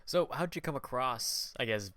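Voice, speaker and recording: Guy hosting a chill podcast chat, slightly low bright voice, dry sound. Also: lively intonation, normal speed, dry recording. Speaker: podcast host, interviewer. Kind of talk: casual chat.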